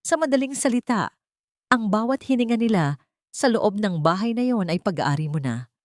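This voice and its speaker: A woman's voice: medium pitch, expressive, normal speed, no room sound, studio quality, voice pushed up, natural voice. A woman voicing a loyal male lawyer who reports to his boss in a formal, assured manner for an audio drama. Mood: triumphant.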